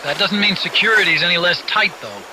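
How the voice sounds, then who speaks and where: A man's voice: sits high, animated, brisk, background noise. An actor playing an earnest, nerdy male scientist in a video game, eager and a little awkward. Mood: briefing. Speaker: video game supporting character. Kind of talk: dramatic video game acting.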